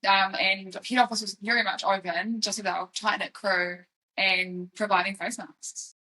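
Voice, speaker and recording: Young kiwi woman, bright voice, super casual chatty co-host vibe. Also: medium pitch, fairly even intonation, unhurried, slight background noise, rough recording. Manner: informal, chatty, agreeable. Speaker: podcast co-host and fashion company staff member. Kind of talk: casual chat.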